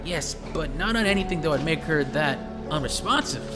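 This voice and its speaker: A man's voice: a little high, expressive, a little fast, lightly acted. An actor playing a chatty, wisecracking male police detective, a sardonic and eager sidekick in an audio drama. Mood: earnest briefing. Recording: reverberant hall, music underneath.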